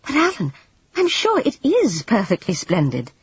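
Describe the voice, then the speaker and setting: A gentle young woman with a kind, eager way of speaking, put on by an audiobook reader. Her voice sits higher than the reader's own and moves lively up and down, with a breathy, husky edge. The recording is dry and dull. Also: normal speed, dry recording, heavily acted. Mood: flustered. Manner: eager, earnest, soothing.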